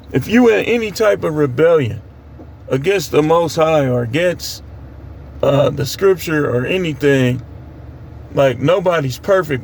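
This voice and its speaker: Gravelly American man preaching informally. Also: a little high, a little mellow, a little hiss or hum. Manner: admonishing, plain-spoken, repeats phrases for emphasis. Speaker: street preacher. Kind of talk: informal preaching with scripture commentary.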